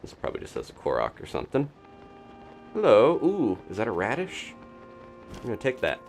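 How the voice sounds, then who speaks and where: A man streaming a video game, giving casual live commentary and chatting as he thinks out loud. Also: medium pitch, wildly swooping pitch, brisk, vocal fry, soft background music.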